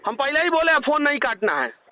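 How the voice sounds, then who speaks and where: High-pitched, insistent man on phone. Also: big pitch swings, brisk. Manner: insistent, argumentative, sarcastic. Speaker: telemarketing call recipient. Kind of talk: phone conversation, complaining. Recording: muffled low-fidelity phone call recording.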